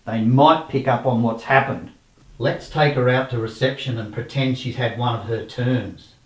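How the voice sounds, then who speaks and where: A man acts a scheming, easily affronted actor in a comedy radio play. His voice sits at a middling pitch, with noticeable room echo around it. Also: normal speed, lightly acted. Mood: conspiratorial. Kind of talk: comic radio play acting.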